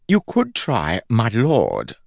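A man's voice: mid-range voice, huge pitch swings, a little slow, dry recording, studio quality, voice pushed up. A man voicing a weary, fussy god in a small man's form, with a solemn, soothing and easily huffy manner, as in an audiobook. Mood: huffy, offended.

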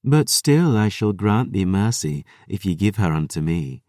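A man's voice: low voice, very animated, measured pace, darkish tone, no echo, studio quality. A man voicing a cruel female vampire who sneers in old-fashioned speech full of thee and ye. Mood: coldly bargaining.

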